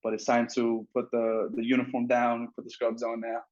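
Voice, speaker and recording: A man's voice: medium pitch, monotone, moderate pace, darkish tone, dull audio. A young man from Pakistan, a podiatry student, explaining his field earnestly in an interview with some fillers. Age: young adult. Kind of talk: interview answers.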